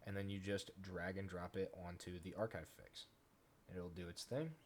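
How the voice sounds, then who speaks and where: A man casually talking through a software tutorial step by step, in an informal way. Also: sits low, fairly even intonation, moderate pace. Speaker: tutorial host, gaming content creator. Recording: noisy home recording with keyboard clicks.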